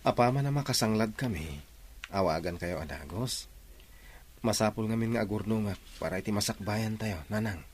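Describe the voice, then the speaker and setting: A man's voice: lowish voice, normal speed, slight background noise, close to an everyday voice. A man playing an earnest, reassuring young seaman in a radio drama, with an Ilocano Filipino accent. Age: young adult. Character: earnest young seaman, devoted son and suitor.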